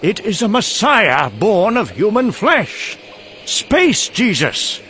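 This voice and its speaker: Actor as animated mad scientist, noisy recording. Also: male voice, sits high, takes it slowly, heavily acted. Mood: manic, urgent. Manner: rambling, excitable, self-congratulating. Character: mad, vain, rambling British male scientist narrating doom. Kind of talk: dramatic motion-comic monologue and dialogue.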